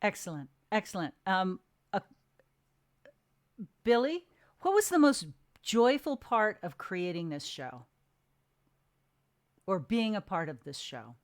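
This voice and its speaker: A woman's voice: medium pitch, big pitch swings, slow, pauses often, no echo, studio quality. A woman hosting an interview with casual, teasing, playful banter. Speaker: interview host.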